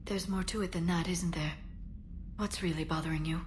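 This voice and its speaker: An actress playing a gentle, concerned confidante aboard a spaceship in a video game, earnest and reassuring. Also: female voice, fairly low pitch, steady tone, moderate pace, slight background noise, close to an everyday voice. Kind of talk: video game cutscene dialogue.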